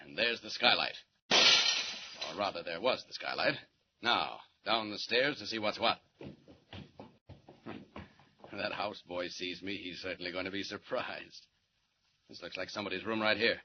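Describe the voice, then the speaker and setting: An actor with an American accent playing a mild-mannered reporter who is secretly a confident hero, in a 1940s radio drama. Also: male voice, sits low, measured pace, pauses often. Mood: breezy, probing. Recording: muffled, noisy 1940s radio recording.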